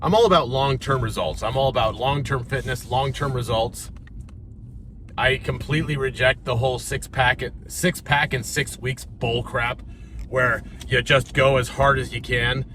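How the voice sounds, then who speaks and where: An American man talks fitness in a loose, joking vlog style, circling back and stumbling over his words when he runs out of ideas. His voice sits at an ordinary middle pitch. Steady road noise and passing cars run under the recording. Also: normal speed. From United States. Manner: rambling and jokey, stutters when thinking. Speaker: fitness coach. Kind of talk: casual talking-head vlog. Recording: noisy with car sounds behind.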